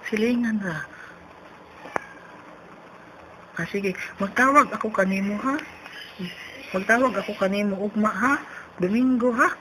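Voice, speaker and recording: A woman voicing a forgetful elderly neighbour with a Cebuano accent, halting and repetitive on a prank phone call. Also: medium pitch, bright, forceful tone, close to an everyday voice. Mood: insistent, polite. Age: older. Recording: noisy phone-call recording.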